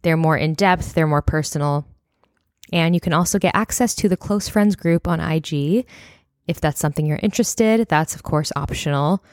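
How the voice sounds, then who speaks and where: A woman in her twenties, a little hoarse, hosting a casual solo podcast and chatting with lots of fillers. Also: deep voice, normal speed, a little mellow, dry recording, clean studio sound. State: slightly hoarse, voice near breaking. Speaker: podcast host.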